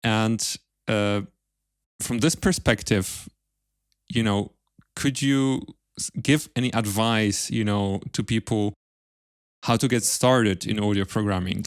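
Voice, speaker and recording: A man's voice: lowish voice, no room sound, clean studio sound. A man hosting a podcast, putting casual questions and remarks to his guest. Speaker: podcast host, interviewer.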